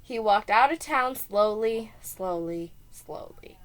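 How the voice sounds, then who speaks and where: A woman preschool teacher reading a children's storybook aloud with asides, expressive and deliberate, stressing key words. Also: mid-range voice, low-quality recording.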